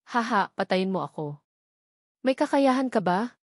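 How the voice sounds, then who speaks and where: A woman's voice: mid-range voice, lively intonation, a little slow, no room sound. A cold, arrogant martial-arts villain in an audiobook, read by a woman. The lines are mocking and taunting. The sound is very dry and close, without room.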